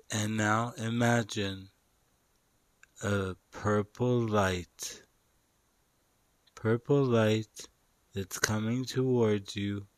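A man narrating a guided meditation in a soothing, instructional way, pausing between short phrases. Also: fairly low pitch, dry recording.

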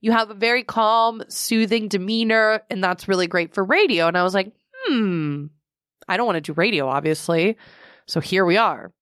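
Young woman cohosting a podcast, chatty and lively, dry close studio sound. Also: normal speed, no echo. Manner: animated, rising pitch when excited. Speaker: podcast co-host. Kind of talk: casual chat.

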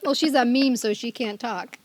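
A woman's voice: on the high side, normal speed, a little hiss or hum. A middle-aged woman co-hosting a podcast in casual chat, tossing in playful quips. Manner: playful, quipping. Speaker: podcast co-host.

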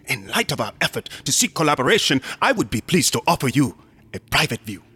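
An actor playing a smug, unctuous museum assistant in a horror radio drama, courteous and insinuating, sometimes dropping into whispers. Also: male voice, medium pitch, big pitch swings, fairly quick, sharp tone, slightly rough, heavily acted. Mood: oily politeness. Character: sinister, smug, unctuous museum assistant guarding macabre exhibits.

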